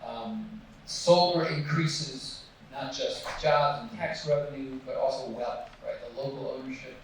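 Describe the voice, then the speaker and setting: A man's voice: mid-range voice, a little slow, background noise. A man presenting his nonprofit's tool and answering audience questions in a conversational, restarting manner. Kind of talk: public Q&A presentation. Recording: echoey hall from far off.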